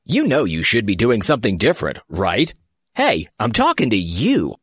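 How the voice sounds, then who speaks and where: A man's voice: on the low side, wildly swooping pitch, normal speed, a bit bright, slightly rough, dry recording, studio quality. A man reading a scripted show intro, punchy and persuasive, speaking straight to the listener. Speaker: show announcer. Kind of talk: scripted promo voice-over.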